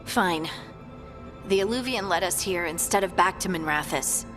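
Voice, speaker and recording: A woman's voice: on the low side, normal speed, natural voice. A woman playing an earnest, insistent scout in a fantasy video game party. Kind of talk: video game cutscene acting. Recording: loud music behind the voice.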